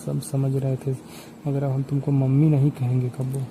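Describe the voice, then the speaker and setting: A man's voice: mid-range voice, completely flat delivery, normal speed, close to an everyday voice. Man playing indignant young village son, noisy recording. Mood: reproachful. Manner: insistent, repetitive, reproachful. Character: indignant 25-year-old village son scolding his stepmother.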